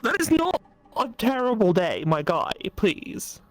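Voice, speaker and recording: A man co-commentating on a game in casual chat, animated and teasing. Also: high voice, a little slow, crowd noise. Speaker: co-commentator on let's play.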